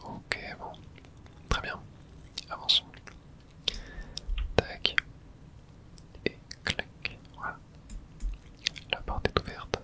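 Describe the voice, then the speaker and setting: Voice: very slow, pauses often, poor audio. A whispering ASMR gamer of unclear sex. The delivery is unvoiced and close to the microphone, commenting on play step by step. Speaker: gaming ASMR streamer. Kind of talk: whispered ASMR gameplay commentary, reading game subtitles aloud.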